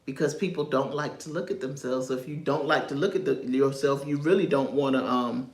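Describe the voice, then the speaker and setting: A woman's voice: extremely low pitch, steady tone, fairly quick, a little mellow, reverberant. A woman from New York, raised in North Carolina, giving casual livestream commentary. She talks in a chatty way, drifts off topic and chuckles often. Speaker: social media commentator.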